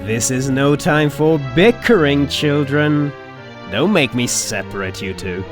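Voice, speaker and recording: A man's voice: fairly high pitch, lively intonation, measured pace, over music. A man playing a wry, teasing fighter pilot in a sci-fi audio drama. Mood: wry scolding.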